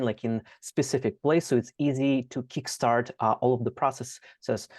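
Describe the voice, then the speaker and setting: A man's voice: mid-range voice, a little mellow, no echo, studio quality. A man interviewing a guest, asking long, loose questions padded with "like" and "you know". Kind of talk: casual conversational questions.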